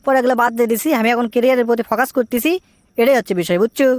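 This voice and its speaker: A man acts out a brash young cartoon man with a high voice and a Bogura accent. He rattles along very fast, teasing and boasting, in a dry, close sound. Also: fairly even intonation, no room sound. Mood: boastful. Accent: Bogura, Bangladesh. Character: cheeky, boastful young man scolding his lovesick friend. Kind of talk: comic cartoon dialogue.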